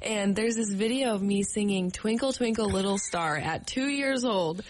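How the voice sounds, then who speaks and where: A young woman, chatting in a radio interview as a guest singer, cheerful and quick to laugh. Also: mid-range voice, a little hiss or hum. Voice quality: raspy. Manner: bubbly, laughs often, acts out quotes.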